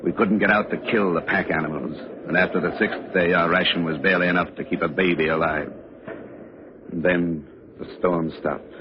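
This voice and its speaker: An actor playing a grave, weary pioneer man in an old radio drama, speaking in a sombre, measured way. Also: low-pitched, steady tone, natural voice. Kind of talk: 1940s-50s radio drama acting and narration. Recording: muffled, very noisy old radio recording.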